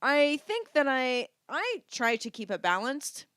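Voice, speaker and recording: A woman's voice: high voice, big pitch swings, measured pace, a bit bright, clear, resonant tone, no room sound, clean studio sound. A woman co-hosting a podcast, chatting casually and giving advice in a playful, teasing way. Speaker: podcast co-host, dance teacher.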